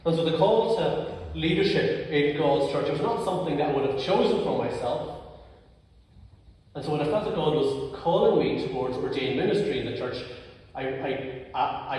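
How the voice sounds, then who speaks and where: A young clergyman from Northern Ireland who has a stammer, preaching an earnest, personal sermon with slow, weighty pauses in a church sanctuary. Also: medium pitch, a little mellow. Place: large church sanctuary, indoors. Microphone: far camera. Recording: echoey church from far off.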